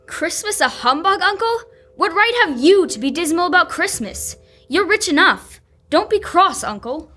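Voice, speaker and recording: A cheerful, good-hearted young nephew in a radio play, acted by a young woman. The voice is high and swings in lively, earnest appeals. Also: moderate pace, full character voice. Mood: earnest protest. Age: teenager or young adult. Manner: earnest, pleading. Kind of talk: live old-time radio drama reading.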